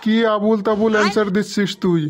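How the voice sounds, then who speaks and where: A man's voice: sits high, fairly even intonation, quick pace, heavy background noise, close to an everyday voice. A man voicing an impatient, boastful schoolteacher who scolds and quizzes pupils in a comedy cartoon. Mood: exasperated scolding. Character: exasperated bossy male schoolteacher quizzing pupils.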